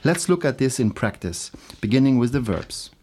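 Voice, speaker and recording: A man teaching in a scripted language lesson, explaining didactically and enunciating examples slowly and deliberately. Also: mid-range voice, very animated, a little mellow, slightly rough.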